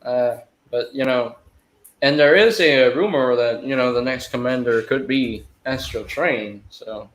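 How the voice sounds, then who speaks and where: A man's voice: medium pitch, measured pace, poor audio. A man from Malaysia who speaks with an American accent, chatting casually on a live show and trailing off with "so". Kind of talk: casual chat.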